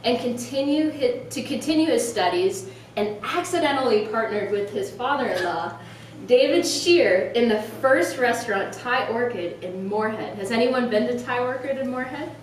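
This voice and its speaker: A woman with a fairly high voice welcomes a group as host and introduces a speaker. She is heard from some distance in a very echoey room, with faint noise. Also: animated, normal speed. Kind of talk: welcome speech, partly read introduction. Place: indoor meetup room.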